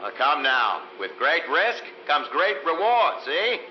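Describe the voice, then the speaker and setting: Brash, greedy American factory boss. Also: male voice, on the high side, big pitch swings, a little hiss or hum. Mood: smug, breezy. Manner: brash, dismissive, hurried. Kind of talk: old-time radio drama acting. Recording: lo-fi old radio sound.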